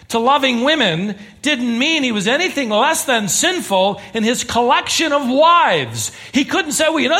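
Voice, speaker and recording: A man's voice: very high voice, pushed and bright. A man preaching a sermon to a congregation in an earnest, emphatic way, pressing his points with rising intensity. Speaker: pastor.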